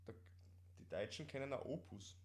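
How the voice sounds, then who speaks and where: A man's voice: mid-range voice, expressive, normal speed, a little mellow. Austrian man bantering casually in dialect. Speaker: live stream co-host. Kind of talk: casual banter on a live stream.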